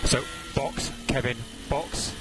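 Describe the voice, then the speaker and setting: Man on race radio, loud music behind. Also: medium pitch, takes it slowly. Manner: clipped, repeats instructions, urges the driver on. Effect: team radio. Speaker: race engineer on team radio. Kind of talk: terse race radio instructions and updates.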